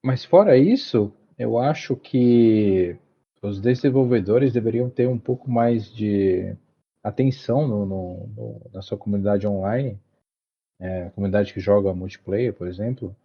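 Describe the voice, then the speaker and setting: A man's voice: fairly low pitch, a little slow, husky. Hesitant man on a dull podcast mic. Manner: hesitant, many restarts and fillers. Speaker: podcast co-host. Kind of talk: casual podcast chat. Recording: dull lo-fi recording.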